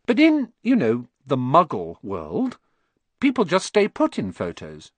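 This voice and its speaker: Earnest young schoolboy, played by a male narrator, polite and a little higher. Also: huge pitch swings, unhurried, no echo, full character voice.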